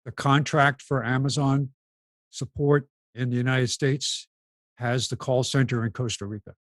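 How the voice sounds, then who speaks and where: Mid-pitched man chatting on a panel. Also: level tone, normal speed, no echo. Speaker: talk show panelist. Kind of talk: casual discussion and anecdote.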